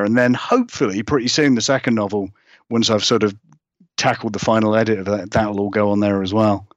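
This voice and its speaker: A man's voice: fairly low pitch, no echo, lo-fi sound. A man chatting casually as an interviewed author, rambling and self-deprecating, with many hedges as he goes. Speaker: guest author being interviewed.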